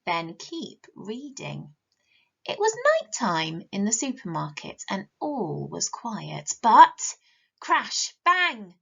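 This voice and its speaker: Animated teacher reading to kids. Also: female voice, mid-range voice, takes it slowly, creaky, husky, lo-fi sound. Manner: animated, questioning, drops to a whisper. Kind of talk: reading a picture book to children.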